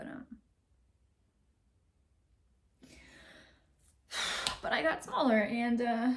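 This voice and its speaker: A young woman playing a wistful, candid actress confiding in her divorce lawyer in a halting, rambling dramatic monologue. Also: on the high side, vocal fry. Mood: subdued, regretful. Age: young adult. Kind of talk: naturalistic dramatic film monologue.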